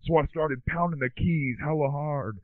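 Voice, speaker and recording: A man playing a dim, excitable young slacker who rambles through slangy stories in a comic monologue. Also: fairly high pitch, moderate pace, no room sound, natural voice. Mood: baffled, excited. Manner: slangy, rambling surfer-dude talk. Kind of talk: comic monologue, casual storytelling. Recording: thin phone-like lo-fi sound.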